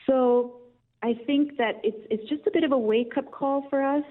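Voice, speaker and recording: A woman's voice: high voice, moderate pace. Austrian woman on a tinny phone line. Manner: reflective, frequent restarts and "um", earnest. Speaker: guest. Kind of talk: radio interview, thoughtful opinion.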